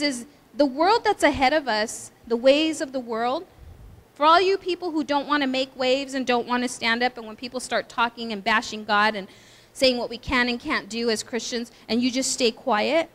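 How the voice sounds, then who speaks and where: A high, girlish voice belongs to this middle-aged woman pastor, who speaks well above the usual pitch for a woman. She talks to a women's breakfast in a chatty, animated way, full of asides. A long hall reverb trails her words. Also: moderate pace.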